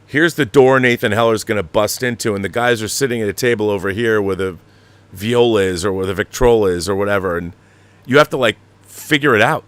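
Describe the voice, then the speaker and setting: A man hosting a casual livestream, explaining things in a rambling, animated, slangy way, like a director talking shop. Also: lowish voice, brisk, smooth. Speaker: show host and audio drama director.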